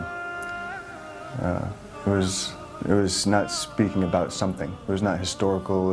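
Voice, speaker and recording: An American man with a deep voice tells a personal story in deliberate, emphatic short phrases. Music is clearly present behind him. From Schenectady, New York, United States. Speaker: interviewee telling a conversion story.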